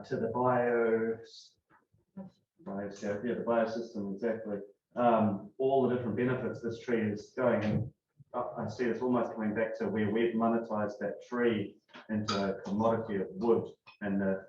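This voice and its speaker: A hesitant man sounds far from the mic in a very echoey room. His fairly low voice comes through dull and lo-fi, with faint noise behind it. He searches for words and pauses often with ums as he asks his question. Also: a little mellow. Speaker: audience member asking a question.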